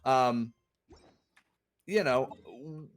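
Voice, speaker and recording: A man's voice: mid-range voice, drawn-out words. A man hosting a casual stream interview, laid-back and rambling, calling people "dude". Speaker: stream host.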